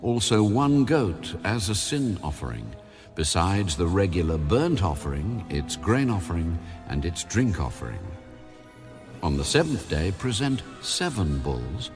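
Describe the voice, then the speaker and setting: A man narrating scripture as an audio Bible reader, in a measured, solemn, formal delivery. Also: low-pitched, very animated. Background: background music.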